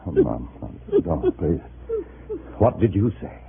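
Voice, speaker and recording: An actor playing a bitter, tense undercover agent posing as a Communist, in hard-boiled 1950s radio style. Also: male voice, low voice, sing-song, takes it slowly, vocal fry, slight background noise, full character voice. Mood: anxious. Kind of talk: 1950s radio acting, hard-boiled first-person narration. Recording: muffled vintage radio drama, faint music.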